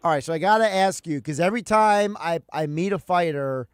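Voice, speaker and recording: A man's voice: on the high side, brisk, clear, resonant tone, no echo, clean studio sound. A male radio host interviewing a boxer, asking long, rambling questions in a chatty way and calling himself a geek. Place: home studio.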